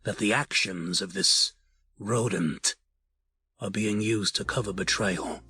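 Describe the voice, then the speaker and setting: A man's voice: lowish voice, pushed and bright, husky, full character voice. Man playing a grave, menacing crime boss. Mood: grave, accusing. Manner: formal, measured, grave. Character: proud, menacing, dignified crime family patriarch. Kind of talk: audio drama acting.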